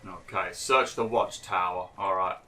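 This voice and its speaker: A young man doing chatty, rambling gameplay commentary, a little hoarse and nasal from being ill. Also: sits low, fairly even intonation, a little slow, sharp tone. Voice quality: slightly nasal, froggy throat. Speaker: gaming YouTuber.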